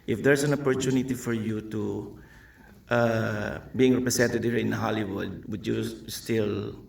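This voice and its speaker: Slow, gravelly man asking a question. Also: fairly low pitch, steady tone, a little mellow. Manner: slow, halting, many uh fillers. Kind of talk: press conference question. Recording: echoey room, distant mic.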